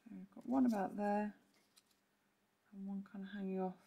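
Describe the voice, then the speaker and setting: A woman with a croaky voice, chatting through a step-by-step card-making tutorial and clearing her throat now and then. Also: medium pitch, steady tone, normal speed, dark tone. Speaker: papercraft demonstrator. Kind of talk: casual step-by-step craft tutorial.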